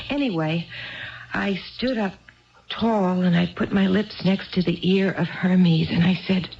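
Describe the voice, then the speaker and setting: An actress in a 1970s radio drama playing a troubled, tipsy wife who confides earnestly and ramblingly in a bartender. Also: female voice, on the low side, vocal fry. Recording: dull 1970s radio broadcast recording.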